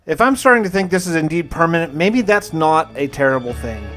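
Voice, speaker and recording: Higher-voiced man narrating his gameplay. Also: lively intonation, fairly quick, fairly bright tone, soft background music. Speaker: gamer narrating his survival challenge. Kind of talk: first-person roleplay game narration with live gameplay reactions.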